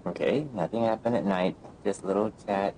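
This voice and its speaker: A man's voice: on the low side, a little slow, mellow, a little hiss or hum, poor audio. A man in his thirties giving casual, laid-back gameplay commentary as a streamer, muttering short asides. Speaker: game streamer. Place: indoor room at home, on webcam.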